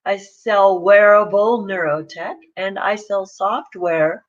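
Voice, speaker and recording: A woman's voice: medium pitch, fairly even intonation, slow, dull audio. A woman coach giving a casual, plain-spoken morning talk to camera. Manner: chatty, pragmatic, plain-spoken.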